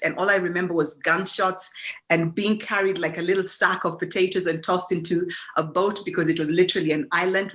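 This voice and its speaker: A woman from Kenya, giving a reflective personal talk as a guest speaker, often restarting her sentences. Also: on the low side. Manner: warm, reflective, with frequent restarts and fillers.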